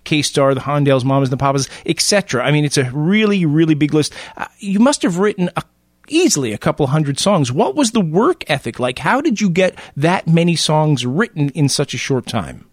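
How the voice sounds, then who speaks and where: A man's voice: fairly high pitch, very animated, a little fast, no room sound, studio quality. A male radio host interviewing a guest, asking long, enthusiastic questions.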